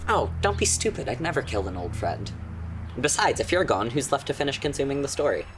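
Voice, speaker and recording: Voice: mid-range voice, very animated, fast, noisy background, lightly acted. An actor playing a smug, taunting old friend who has turned to a destructive power, speaking casually and with amusement in a horror drama. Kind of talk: conversational horror audio drama acting.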